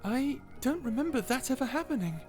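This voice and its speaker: A woman voicing a kind, dutiful guardian angel in a character audio roleplay, gentle, formal and measured. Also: high-pitched, lively intonation, faint music behind, voice pushed up. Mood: innocent, puzzled.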